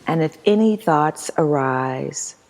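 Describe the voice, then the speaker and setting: A woman leading a guided meditation as instructor, reading in a soothing, measured manner with long pauses. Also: sits low, expressive, a little mellow. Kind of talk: guided meditation, scripted narration. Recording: long hall-like reverb.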